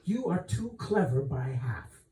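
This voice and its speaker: A gracious, wry queen, as a man reads the part from a novel, speaks slowly and reflectively. The tone is very mellow with lively turns of pitch, in an echoing room. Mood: amused. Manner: measured, regal, reflective. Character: gracious, self-doubting adult queen, wry and frank. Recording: roomy echo, distant microphone.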